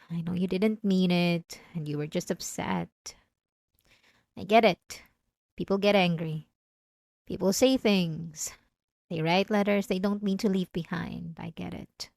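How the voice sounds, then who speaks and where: A woman's voice: on the low side, measured pace, creaky, pauses often, darkish tone, no echo, clean studio sound. A woman playing a possessive, obsessive wife in an intimate, emotional ASMR roleplay, speaking straight to the listener. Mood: soft, forgiving, clingy. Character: possessive, obsessive yandere wife, clingy and menacing.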